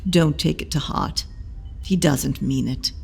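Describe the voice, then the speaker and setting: A woman playing a blunt, teasing, motherly old matron healer with a creaky voice in an audio drama. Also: low-pitched, a little slow, faint music behind, full character voice. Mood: dry, gentle. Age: older. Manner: dry, blunt, scolding affection.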